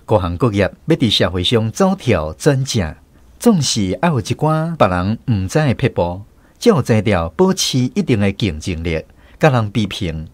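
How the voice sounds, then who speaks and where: A man with a Taiwanese accent hosting and narrating a scripted radio programme in an expressive storytelling manner. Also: on the low side, normal speed, dry recording.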